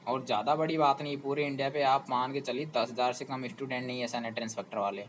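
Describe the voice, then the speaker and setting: A man of ordinary pitch talks students through a topic, persuading and pressing them with rhetorical questions. Faint background noise hangs under his voice. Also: steady tone, quick pace, low-quality recording. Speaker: job information channel host. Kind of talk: explanatory talk to students.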